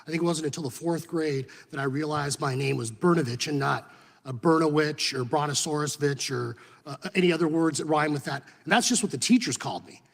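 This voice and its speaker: A man whose first language was Serbo-Croatian, testifying with firm emphasis. His voice is husky and sits a little high. He is heard in a large hearing room with a long reverb. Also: a little fast. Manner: emphatic, argumentative. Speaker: witness at a congressional hearing. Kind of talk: formal testimony.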